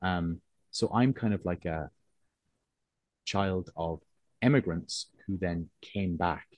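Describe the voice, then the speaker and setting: A man in his forties from Cork with a soft, neutralised Irish accent close to English, talking reflectively and hesitantly in an interview, often circling back to rephrase. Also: deep voice, slow, no echo. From Cork, Ireland. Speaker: interviewed writer.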